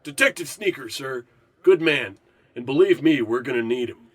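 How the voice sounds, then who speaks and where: A man's voice: mid-range voice, expressive, breathy edge, no room sound. A man playing a businesslike, deferential police sergeant in a radio play, giving formal reports. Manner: formal, brisk report delivery. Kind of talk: old radio police procedural parody acting.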